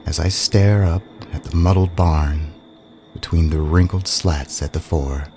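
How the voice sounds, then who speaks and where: Slow, deep-voiced haunted young man, acted by a man. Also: steady tone, dark tone, slight background noise. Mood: tense, hushed. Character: haunted young man, drawn yet frightened, narrating. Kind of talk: first-person scripted audio drama narration. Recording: music under the voice.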